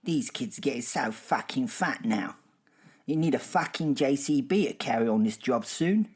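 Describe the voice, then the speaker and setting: A man voicing a grumbling, foul-mouthed older cab driver in a horror comedy audio drama, loud and blunt. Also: mid-range voice, animated, moderate pace, slightly rough, pitched above the performer's own voice.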